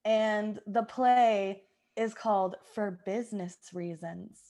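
A woman podcast host chatting casually and excitably with her group, jokey and loose with swearing. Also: medium pitch, slow.

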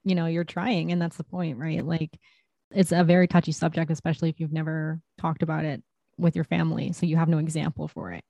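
A woman with a lowish, mellow, gravelly voice interviews a guest and presses him with follow-up questions, often several at once. Her voice sounds dry and slightly dull. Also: flat delivery, brisk, no room sound.

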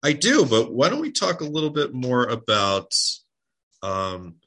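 A man's voice: mid-range voice, very animated, measured pace, pushed and bright. Tired man rambling on a livestream. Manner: rambling, restarts words, many fillers. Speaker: spiritual guest speaker. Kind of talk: casual livestream talk.

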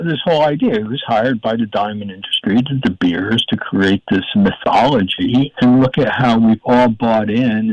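An older man with a gravelly voice, a guest in an interview, talking in a rambling, emphatic way while searching for words. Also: fairly low pitch, a little mellow, no room sound. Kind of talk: casual interview talk. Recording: dull, dry audio.

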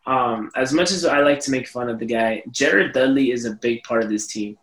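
Casting: mid-pitched man, easygoing, lots of ums, in an echoey room. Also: normal speed. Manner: relaxed, filler-heavy, hesitant. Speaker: podcast co-host. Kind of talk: casual sports chat.

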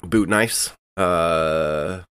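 A man's voice: deep voice, extremely slow, a bit bright, clear, resonant tone. A man playing a tabletop game, talking loosely and rambling with fillers. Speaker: player. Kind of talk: casual tabletop game chat.

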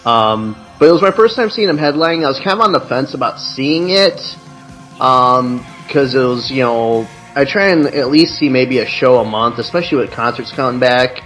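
A man's voice: mid-range voice, animated, moderate pace, a bit bright, faint music behind, rough recording. A man from the Chicago area, co-hosting a podcast and telling a long story in a casual, profane, rambling way. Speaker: podcast co-host. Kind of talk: casual chat.

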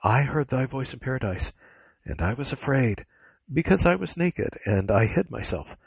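A man's voice: mid-range voice, big pitch swings, moderate pace, vocal fry, breathy edge, no echo, voice pushed up, exaggerated voice. A frightened, guilty man from a scripture drama acted by a male reader. He pleads anxiously in a raised voice. The recording is dry and close. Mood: afraid.

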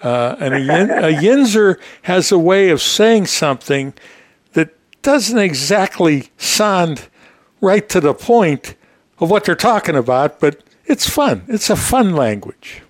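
A man's voice: high-pitched, very animated, slow pace, dry recording. An American man hosting a casual motorsport radio interview, chatting and explaining things for his listeners. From Pittsburgh area, United States. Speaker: radio show host.

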